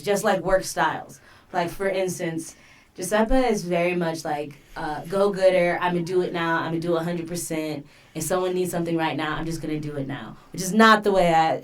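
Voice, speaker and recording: Low-voiced chatty woman in her twenties. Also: far from the mic. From US East Coast. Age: 20s. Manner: chatty, laughs easily. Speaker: co-host, host's wife. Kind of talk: casual conversational chat.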